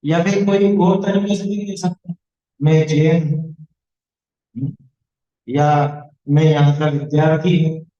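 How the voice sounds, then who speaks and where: A man's voice: on the high side, little pitch movement, unhurried, pauses often, warm tone, lo-fi sound. A man teaching a religious studies lesson to a class of children, explaining points and asking the class questions.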